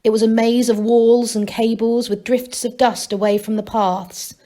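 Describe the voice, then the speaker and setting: A woman's voice: a little high, little pitch movement, normal speed, mellow. Woman reading a children's story expressively. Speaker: storyteller reading aloud for a school. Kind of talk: expressive children's audiobook narration.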